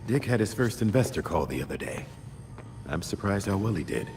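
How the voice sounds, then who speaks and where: Old cop, real deep voice, plain talk, noisy background. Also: male voice, big pitch swings, a little fast, creaky. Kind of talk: game cutscene acting. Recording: background noise.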